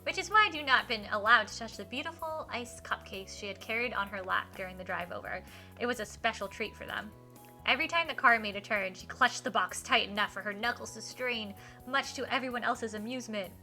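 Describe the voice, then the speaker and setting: A woman streaming a visual novel, reading it aloud and reacting in an enthusiastic, expressive way. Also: fairly high pitch, brisk, soft background music. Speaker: game streamer.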